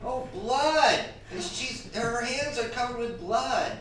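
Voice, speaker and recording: A woman's voice: on the high side, slow pace, a bit bright. A woman playing a timid, henpecked husband who stammers submissively in a live radio drama. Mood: nervous. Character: timid, henpecked, meek husband Mister Hinkle. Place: stage of a live theatre performance. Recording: echoey stage, distant from the mic.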